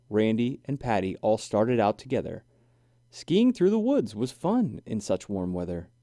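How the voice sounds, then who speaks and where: Man, children's read-aloud, lively swooping pitch, mellow tone, expressive storytelling. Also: no echo, clean studio sound. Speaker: children's book reader. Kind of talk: animated scripted read-aloud of a rhyming storybook.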